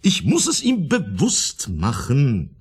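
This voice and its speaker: A man's voice: medium pitch, huge pitch swings, takes it slowly, darkish tone, husky, heavily acted. An actor playing a self-important male psychotherapist with a pompous, lecturing manner in a German radio play. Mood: lecturing, insistent. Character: self-important married male psychotherapist, blind to his failings.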